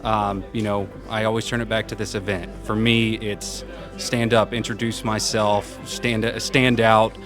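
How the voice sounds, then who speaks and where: Guy with lowish loud voice giving casual upbeat interview answers, music going in the background. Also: flat delivery, unhurried. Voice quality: loud, carrying voice. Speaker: interview guest, senior program manager. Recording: music playing behind the voice.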